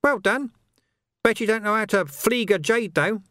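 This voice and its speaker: Cheeky scrawny street urchin, as a male narrator puts him on, high and lively, wheedling in slang, dry close sound. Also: moderate pace, no echo.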